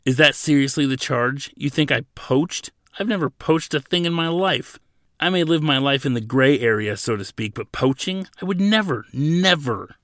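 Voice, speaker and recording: A defiant young prisoner, as a male narrator plays him, pitched up and pushed loud. He is cocky and mocking, a hot-head in a dramatic story, heard close and dry. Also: very animated, normal speed, hardly any pauses, dry recording. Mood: indignant. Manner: shouting, mocking.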